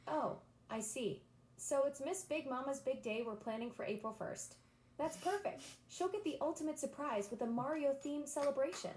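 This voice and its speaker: A woman's voice: high-pitched, moderate pace, darkish tone, roomy sound, slight background noise. A synthetic female voice assistant talking in a bubbly, enthusiastic, eager-to-please way. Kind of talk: upbeat synthetic assistant chat.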